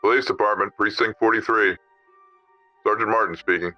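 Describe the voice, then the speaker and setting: An actor playing a brisk, curt police desk sergeant answering calls officially in an audio drama. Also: male voice, fairly low pitch, fairly even intonation, no room sound, natural voice. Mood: businesslike. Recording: dull phone line, faint music behind.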